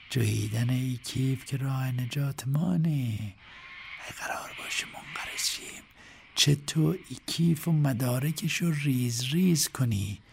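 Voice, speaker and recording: A man voicing a middle-aged woman zoo director who talks slowly and softly, coaxing and firm. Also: fairly high pitch, creaky, noisy background, pitched above the performer's own voice. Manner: soft, slow coaxing, sometimes near whisper. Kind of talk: audiobook character voice.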